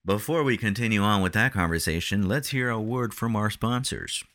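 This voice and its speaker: Deep-voiced young man asking easy questions. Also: moderate pace, a bit bright, no echo, clean studio sound. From Brazil. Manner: easygoing, asks questions for the audience, says "dude". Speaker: podcast co-host. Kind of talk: casual chat.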